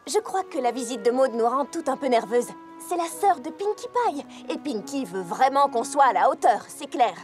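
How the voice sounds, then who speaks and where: Sensible young pony girl for animation, earnest, high voice, music behind. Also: big pitch swings, normal speed, pauses often, darkish tone. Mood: earnest, concerned. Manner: earnest, reassuring. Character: sensible, reassuring young female cartoon pony. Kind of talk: animated children's cartoon dub.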